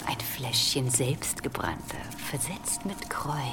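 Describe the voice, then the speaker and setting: An actress playing a mocking, gruff heathen herb woman in a radio play, sardonic and prone to muttering and whispers. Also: extremely low pitch, animated, measured pace. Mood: brusque, businesslike. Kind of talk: dramatic radio play acting.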